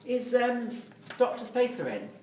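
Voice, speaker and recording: An actor playing an exasperated, aggrieved writer brother in a drama script reading, complaining insistently. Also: male voice, very high-pitched, very animated, measured pace, a little mellow, heavily acted. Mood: polite, tense. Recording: echoey room, distant, faint noise.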